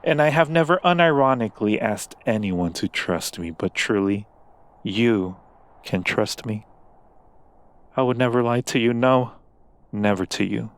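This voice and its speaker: A man's voice: mid-range voice, expressive. A man playing a seductive, coaxing demon in an ASMR roleplay, speaking with silky, formal persuasion to a mortal. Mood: coaxing, possessive. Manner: slow, silky persuasion with formal, deliberate wording.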